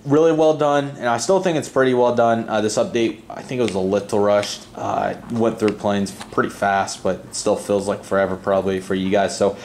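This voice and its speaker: A man's voice: on the low side, fairly even intonation, a little fast, few pauses, a little mellow, a little hiss or hum. A man hosting a hobby video channel, talking in a casual, earnest, rambling unscripted style. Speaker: host of a model airport video channel. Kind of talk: casual unscripted vlog voice-over.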